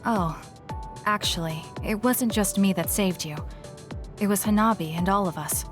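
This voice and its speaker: A woman's voice: mid-range voice, normal speed, over music, natural voice. An actress playing a cool, guarded, logical young woman soldier in a video game, speaking in a reserved, blunt, matter-of-fact way. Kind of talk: English video game voice acting.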